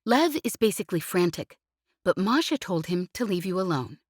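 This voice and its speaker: A woman's voice: lowish voice, big pitch swings, moderate pace, no echo, studio quality. A woman doing a brash, teasing young pilot. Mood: coaxing. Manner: cheeky, relentless chatter. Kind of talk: audiobook character reading.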